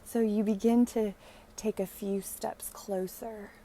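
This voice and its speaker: A woman guides a meditation in a mellow, relaxed voice. She speaks very slowly and gently, in a hushed, soothing way with long pauses between phrases. There is a faint noise behind her. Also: mid-range voice.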